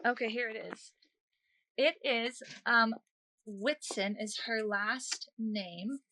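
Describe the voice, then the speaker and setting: A woman's voice: on the high side, slow, bright, forceful tone. A woman speaking as a guest author in a conversational interview, chatting and telling personal stories in an earnest way.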